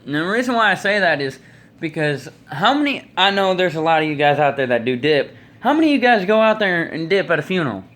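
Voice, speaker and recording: Bright-voiced young American man rambling casually. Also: on the high side, big pitch swings, fast. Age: 20s. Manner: folksy, opinionated. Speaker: vlogger telling stories. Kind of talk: casual rambling storytelling to camera. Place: small indoor room. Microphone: phone at arm's length.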